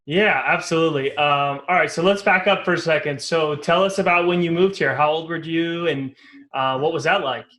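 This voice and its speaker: A man's voice: fairly high pitch, normal speed, a bit bright. A man hosting an interview podcast, asking loose, rambling questions and restarting his sentences. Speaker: podcast host and company founder.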